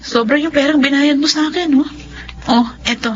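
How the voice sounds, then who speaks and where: A woman's voice: high-pitched, brisk, natural voice. Woman playing a blunt, scolding neighbour, slightly muffled. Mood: blunt, reproachful. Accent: Filipino. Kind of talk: Tagalog radio drama acting. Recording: dull lo-fi radio drama sound.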